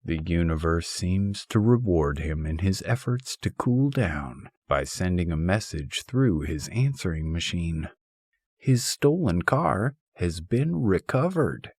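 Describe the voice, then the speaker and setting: A low-pitched male narrator takes his time over a humorous essay, his delivery wry and mock-scholarly. The tone moves up and down in a lively way. The recording is dry and close. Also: takes it slowly, breathy edge, no echo. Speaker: audiobook narrator.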